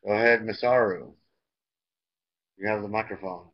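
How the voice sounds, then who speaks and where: A man's voice: lowish voice, steady tone, moderate pace, background noise. American male teacher on dull online audio. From United States. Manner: instructional, repeats himself. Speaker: English teacher. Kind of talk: leading an online language class.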